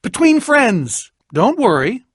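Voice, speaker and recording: A middle-aged man voicing an eccentric, lonely, excitable researcher in a video game, with verbose, pompous, theatrical diction. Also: very high voice, takes it slowly, breathy edge, dry recording, studio quality, heavily acted. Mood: awkwardly friendly. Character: eccentric, lonely, excitable male researcher obsessed with studying creatures. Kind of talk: animated video game voice acting.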